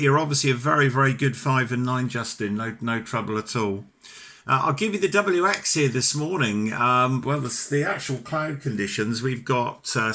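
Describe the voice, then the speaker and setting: A man's voice: mid-range voice, bright, forceful tone. A British man chatting as an amateur radio operator, enthusiastic, courteous and talkative in a conversational radio exchange. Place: home ham radio station.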